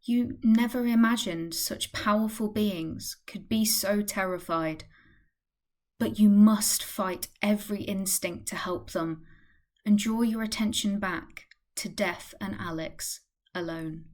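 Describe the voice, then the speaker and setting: A woman's voice: medium pitch, takes it slowly. A woman reading scripted narration for a radio play.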